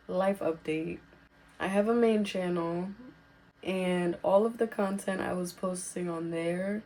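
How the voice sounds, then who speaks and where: A woman hosting a podcast as casual solo girl talk, informal and reassuring, her voice slightly raspy as she recovers from being sick. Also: medium pitch, a little mellow, poor audio. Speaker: podcast host.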